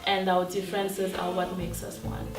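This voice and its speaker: A woman's voice: on the low side, completely flat delivery, moderate pace. Young woman, echoey and distant, music behind. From Mali. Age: young adult. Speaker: youth conference participant. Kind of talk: earnest personal testimony.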